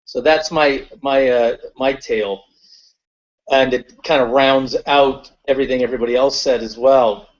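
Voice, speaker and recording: A man's voice: mid-range voice, fairly even intonation, normal speed. A man from Guyana hosting a webinar, telling a personal story in a reflective way with many fillers. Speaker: webinar host. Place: online call. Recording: muffled webinar call audio.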